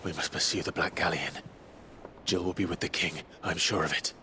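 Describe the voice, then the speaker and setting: An actor playing a brooding, resolute young warrior in a fantasy game, with an earnest, measured delivery. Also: male voice, sits low, steady tone, background noise, lightly acted. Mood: grave resolve. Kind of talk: dramatic fantasy game voice acting.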